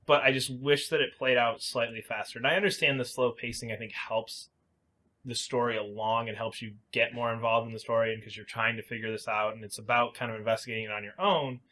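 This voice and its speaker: A man speaks in a bright, crisp-edged voice of average pitch. He sounds like a podcast co-host in casual discussion, offering plain opinions on games in an everyday conversational style. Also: steady tone, fairly quick. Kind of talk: casual opinionated game chat.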